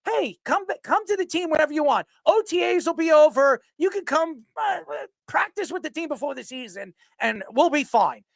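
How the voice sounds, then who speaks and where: A sports talk show host giving opinionated commentary in an emphatic, declamatory way with a creaky voice. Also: mid-range voice, a bit bright, dry recording.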